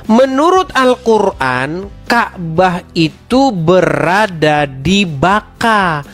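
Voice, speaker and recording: A man's voice: high voice, animated, very slow, over music. A man with a slight head cold, hosting a heated religious debate, challenging and mocking his opponent with laughter.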